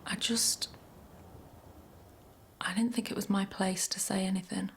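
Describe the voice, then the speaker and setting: An actress playing a shaken, regretful engineer who recounts a crash haltingly in a training film drama. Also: female voice, mid-range voice, steady tone, vocal fry, slight background noise. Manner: halting, pausing mid-thought. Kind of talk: reflective first-person testimony in a training film drama.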